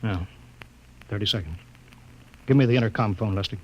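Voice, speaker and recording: An actor playing a cautious, authoritative rocket captain in an old radio drama, giving clipped orders. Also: male voice, lowish voice, brisk, lo-fi sound, heavy background noise, close to an everyday voice. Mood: brisk, commanding.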